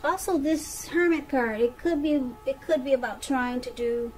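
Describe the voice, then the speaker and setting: Woman, fairly high voice, tarot reader, conversational, emphatic repetition. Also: moderate pace, a little hiss or hum, low-quality recording. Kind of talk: conversational tarot reading addressed to the listener.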